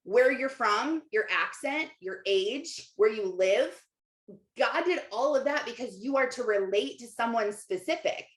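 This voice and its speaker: A woman's voice: on the high side, animated, unhurried. Woman coaching casually in an echoey room. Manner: informal, conversational, emphatic with rhetorical questions and repetition. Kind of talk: casual motivational livestream talk to viewers, reading out comments. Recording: roomy, echoey sound.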